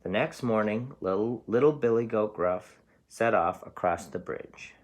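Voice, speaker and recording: A man's voice: lowish voice, expressive, unhurried. A man reading a storybook aloud as a teacher, explaining things simply for young children.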